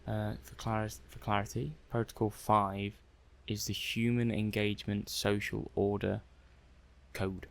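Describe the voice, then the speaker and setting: Guy playing a robot lab tech for asmr, lowish croaky male voice, soft spoken, kinda flustered, chatty and musing to himself. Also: flat delivery, unhurried. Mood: chatty, slightly flustered. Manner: hesitant, musing aloud, conversational. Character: affable, slightly flustered robot-testing technician, adult man. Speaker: ASMR roleplayer.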